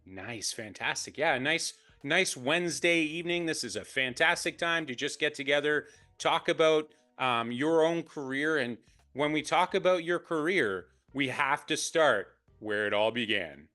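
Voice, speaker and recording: A man's voice: a little high, lively intonation, moderate pace, sharp tone, soft background music. A man hosting a sports podcast, introducing his guests with enthusiastic, upbeat delivery. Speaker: podcast host. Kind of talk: casual interview.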